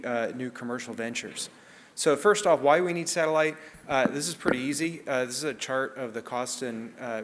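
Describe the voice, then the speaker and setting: A man with a New Zealand accent giving a brisk technical conference talk, with frequent "uh" fillers. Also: medium pitch, fairly bright tone. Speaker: conference speaker on satellite access. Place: large conference hall. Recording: reverberant hall, faint ambient noise.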